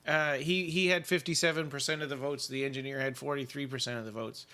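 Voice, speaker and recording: Man, podcast co-host, eager casual chat with fillers, bright tense voice. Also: medium pitch, fairly even intonation, fast talker, clean tone. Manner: enthusiastic, hesitant with fillers.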